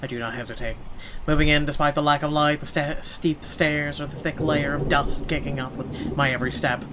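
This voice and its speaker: A man's voice: on the high side, fairly even intonation, fairly quick, background noise. A man playing a horror game, reading its text aloud theatrically and adding sarcastic asides. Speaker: let's player.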